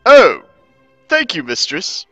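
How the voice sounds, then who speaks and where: A man's voice: high-pitched, huge pitch swings, slow, pushed and bright, muffled recording, faint music behind, pitched above the performer's own voice, exaggerated voice. A man voicing a polite young servant girl who speaks deferentially to her mistress in a dramatic story reading. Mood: flustered, grateful. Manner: deferential, exclaiming.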